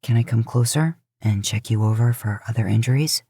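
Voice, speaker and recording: A woman voicing a protective, gruff older brother who talks in a plain, coaxing, reassuring way in a story reading. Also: very deep voice, brisk, mellow, dry recording, studio quality, voice pushed down, heavily acted. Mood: concerned, calm.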